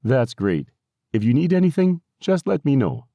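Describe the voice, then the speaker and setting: A man's voice: medium pitch, big pitch swings, normal speed, creaky, mellow, dry recording, studio quality, voice pushed up. A man playing an upbeat, friendly man on a phone call in an audiobook. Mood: cheerful.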